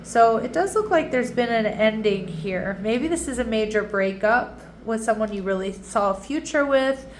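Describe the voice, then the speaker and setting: A woman's voice: on the high side, expressive, normal speed, few pauses, noisy background. A woman giving a casual tarot reading, a little nasal and congested, chatty with frequent restarts and asides. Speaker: tarot reader.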